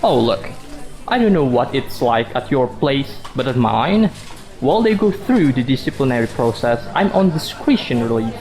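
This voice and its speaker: A man's voice: medium pitch, big pitch swings, normal speed, darkish tone, faint music behind, rough recording. A man playing a sarcastic, defensive office worker husband in a radio drama, sardonic and emphatic. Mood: tense, bitter. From Indonesia. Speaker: actor in a student radio drama.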